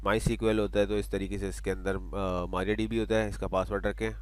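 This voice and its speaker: A man presenting a casual software tutorial, talking through steps and dropping in English technical terms. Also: fairly low pitch, level tone, moderate pace, clean tone. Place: voice-over for screen recording.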